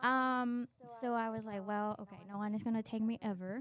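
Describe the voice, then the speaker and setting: A woman from Chile telling personal stories casually as a podcast guest, in an animated, candid, self-mocking way. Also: mid-range voice, a little fast. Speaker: podcast guest, doctor in training.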